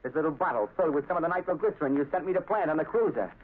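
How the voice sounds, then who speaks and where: A desperate, nervous saboteur. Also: male voice, a little high, lively intonation. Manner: tense, blustering, fast. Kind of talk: 1930s radio drama acting. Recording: tinny old radio recording.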